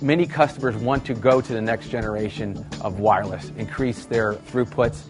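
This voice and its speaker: A man's voice: fairly low pitch, fairly even intonation, moderate pace, over music. A man giving promotional interview remarks as a company spokesman.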